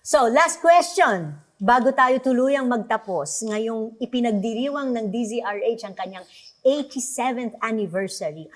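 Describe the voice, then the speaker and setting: Middle-aged woman, Filipino accent, talk show host, fairly high voice, conversational presenting. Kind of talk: hosting an arts talk show.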